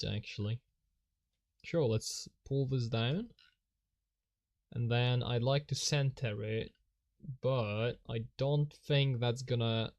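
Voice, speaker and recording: A Polish-speaking man talks slowly through his drawing on a live stream. He rambles, mocks himself and chuckles at his own slips. His voice sits at a middle pitch and is caught on a desk microphone. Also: a little mellow. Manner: rambling, self-mocking, chuckles often.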